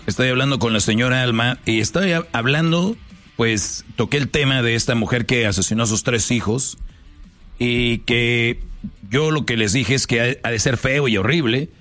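A man's voice: mid-range voice, moderate pace, a bit bright, soft background music. Male talk host for a phone-in program: opinionated, informal, street-slangy and direct, ready to push back. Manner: blunt, confrontational, slangy. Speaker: talk show host taking calls.

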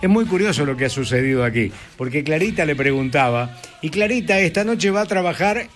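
A man's voice: fairly high pitch, lively intonation, a little fast. A man with an Argentine Rioplatense accent hosting radio, chatting expressively between interview and music commentary. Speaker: radio host.

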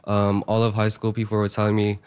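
A hoarse young man, a fresh high school graduate, talking earnestly with many fillers as a radio interview guest. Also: completely flat delivery, brisk, a little mellow. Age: young adult.

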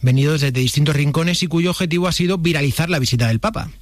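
A man's voice: fairly high pitch, fast, no echo, studio quality. A man with an accent from Spain presenting a conversational radio segment in a playful, wry way. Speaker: radio show contributor. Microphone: close studio microphone.

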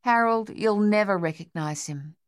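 A woman voicing an urgent young male soldier in an audiobook, speaking gruffly and coaxing his comrade. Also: mid-range voice, normal speed, no room sound, clean studio sound, close to an everyday voice. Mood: gentle.

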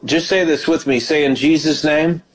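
A man's voice: a little high, fairly even intonation, normal speed, poor audio. A man hosting a call-in prayer show as a pastor, praying fervently over callers. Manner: fervent praying, frequent hallelujahs. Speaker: radio ministry host and pastor.

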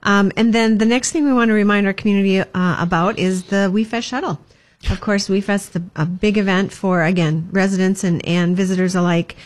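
A woman's voice: medium pitch, steady tone, fairly quick. A woman from the chamber of commerce giving upbeat community announcements, with plenty of ums. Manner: upbeat, filler-heavy, promotional. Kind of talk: community announcements in casual chat.

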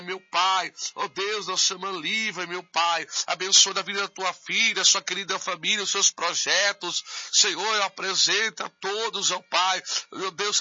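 Fervent high-voiced praying pastor. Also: male voice, pushed and bright, no echo. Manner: fervent, repetitive invocations. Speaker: pastor leading intercessory prayer. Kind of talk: fervent spoken prayer. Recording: dull lo-fi radio sound.

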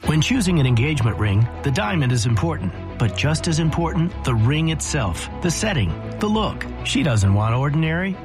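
Man, commercial voice-over, measured, persuasive, slightly high, music bed. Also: very animated. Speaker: ad announcer.

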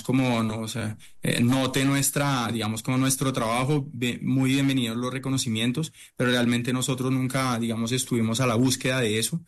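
Hesitant Italian-born man, halting speech. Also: medium pitch. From Italy. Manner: hesitant, many fillers and restarts. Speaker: chef and restaurant owner. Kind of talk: interview answers.

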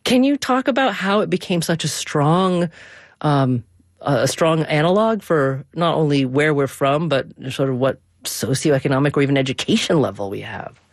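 A woman's voice: low-pitched, lively intonation, moderate pace, no echo, studio quality. A woman speaking as a radio host in a conversational broadcast interview.

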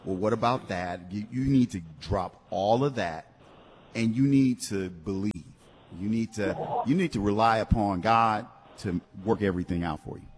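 A man's voice: fairly low pitch, normal speed, breathy edge. A man hosting a live radio talk show, encouraging callers in a preachy, faith-filled way. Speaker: radio host.

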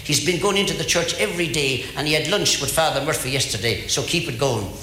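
A man's voice: on the high side, fast, bright, forceful tone. A man from Ireland with an Irish accent telling stand-up jokes as a storyteller, repeating phrases for effect. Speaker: stand-up comedian. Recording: echoey hall, faint background noise.

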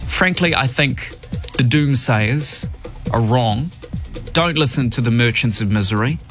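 A man's voice: medium pitch, animated, a little slow, a bit bright, faint music behind. A man with a New Zealand accent hosting a radio show, giving emphatic opinion commentary and reading news headlines. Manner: emphatic, opinionated, varied delivery. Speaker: radio host.

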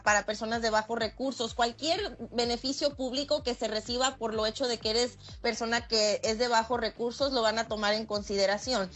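High-voiced Mexican woman, lawyer guest. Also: a bit bright. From Michoacán, Mexico. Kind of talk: explanatory interview talk.

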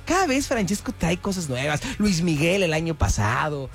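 A middle-aged man hosting morning radio, joking and teasing his co-host. His voice is fairly high and swings widely in pitch. A little music plays softly underneath. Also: sing-song, normal speed. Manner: jokey, playful, shouts in mock announcer bursts. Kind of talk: casual morning radio banter.

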